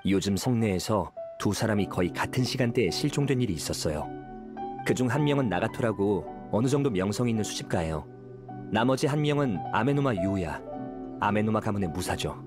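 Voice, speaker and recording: An actor playing a friendly, eager young detective agency employee in an anime game, chatting politely with music behind him. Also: male voice, lowish voice, expressive, fairly quick, natural voice. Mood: helpful.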